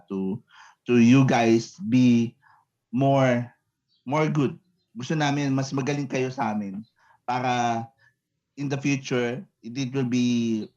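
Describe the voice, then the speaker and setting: A man with a Filipino accent giving a webinar talk over a video call, halting and repeating words as he mixes English and Tagalog. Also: mid-range voice, darkish tone, muffled recording. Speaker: guest speaker, engineer. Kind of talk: webinar lecture. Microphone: computer mic on video call.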